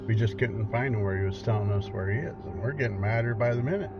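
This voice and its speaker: Middle aged guy telling a spooky story, kinda low voice, loud music all over it, muffled lo-fi sound. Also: quick pace. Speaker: paranormal investigator telling his own story.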